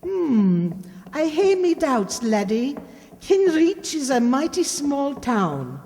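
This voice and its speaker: A woman's voice: sits high, very animated, takes it slowly, warm tone, big-room sound, full character voice. Woman playing a folksy Scottish village cart driver. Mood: folksy, musing. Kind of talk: 1940s radio acting.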